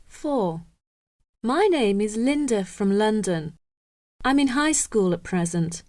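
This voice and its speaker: A woman reading scripted self-introductions and instructions for a listening exercise, slow and carefully enunciated. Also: medium pitch, expressive, a little mellow, no echo, studio quality.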